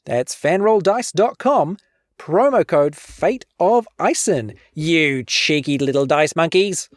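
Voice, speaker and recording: A woman with a New Zealand accent speaks as a cheeky podcast host. Her delivery is very lively and theatrical, swinging widely in pitch, and the recording is dry and close. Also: takes it slowly, dry recording. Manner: animated, cheeky. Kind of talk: playful, theatrical podcast outro.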